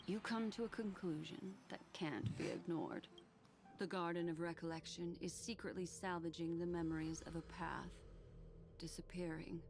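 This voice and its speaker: A poised, enigmatic scholar woman in a video game. Her voice sits fairly low, with measured, formal, deliberate phrasing over soft background music. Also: gravelly. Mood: grave, measured. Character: composed, scholarly, enigmatic female memory keeper. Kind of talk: scripted video game dialogue.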